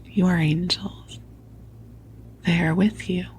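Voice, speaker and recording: A woman whispering softly and tenderly into a close microphone as she hosts a spiritual sleep podcast, soothing and affectionate. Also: lowish voice, flat delivery, takes it slowly, slight background noise, rough recording. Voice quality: hushed, breathy whispering. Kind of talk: whispered guided sleep talk, spiritual meditation. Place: at home under a blanket.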